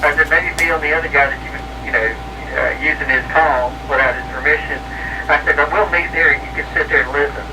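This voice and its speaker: A man's voice: on the high side, fairly even intonation, quick pace. Man chatting over noisy, distant CB radio. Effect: CB radio transmission. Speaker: amateur CB radio operator. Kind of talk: casual chat over CB radio.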